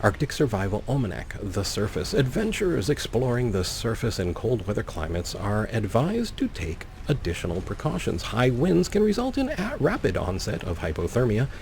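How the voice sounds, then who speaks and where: A man's voice: lowish voice, huge pitch swings, moderate pace, hardly any pauses, darkish tone, a little hiss or hum. A man hosting a let's play, commentating and reading game text aloud with wry, playful asides. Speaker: gaming video host.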